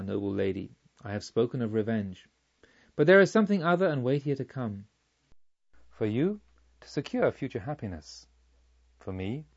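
Audiobook robber chief, read by a man: quiet menace, remorse, formal measured delivery, slightly muffled sound. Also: medium pitch. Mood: persuasive. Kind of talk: audiobook storytelling.

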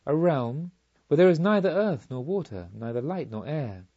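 A man voicing a serene, wise woman disciple of the Buddha in a calm, solemn, teaching manner for an audiobook. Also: on the high side, normal speed, dull audio. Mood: solemn, reverent.

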